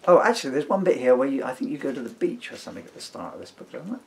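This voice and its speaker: Middle-aged British dad, playful and digressive, mid-pitched, with some background noise on a camera mic. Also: male voice, very animated, very fast, creaky. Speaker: father, author and stage performer. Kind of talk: casual chat and reading own comic book aloud.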